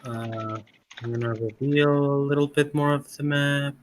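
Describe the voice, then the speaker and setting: A man narrating as game master of a tabletop game, pausing often on fillers. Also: medium pitch, steady tone. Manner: hesitant, full of fillers.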